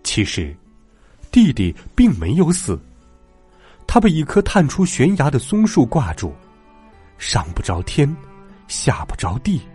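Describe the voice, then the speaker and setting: A man narrating a bedtime story for children as host, in simple, expressive phrases. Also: fairly high pitch, measured pace, pauses often, breathy edge. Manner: addresses young children in simple, animated phrases. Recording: music behind the voice. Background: background music.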